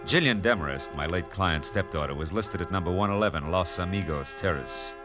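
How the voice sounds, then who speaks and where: A man's voice: low voice, brisk, over music, close to an everyday voice. An actor playing a tough, wry male private detective in a vintage radio drama, clipped and casually probing. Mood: wry narrating. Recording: old radio drama recording.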